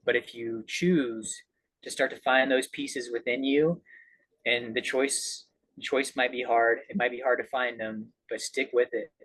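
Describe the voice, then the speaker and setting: A man's voice: mid-range voice, fairly even intonation, measured pace, a bit bright, rough recording. A man giving casual motivational advice in an earnest, encouraging way as a guest speaker.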